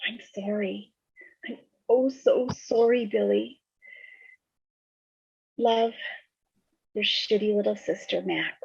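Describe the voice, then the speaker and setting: Brief: woman as grieving teen girl, guilt-ridden, halting, slow, creaky voice, muffled video-call sound. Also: medium pitch, fairly even intonation, darkish tone, no echo, heavily acted. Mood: grieving, remorseful. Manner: halting, emotional, pleading. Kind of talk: fan table read acting.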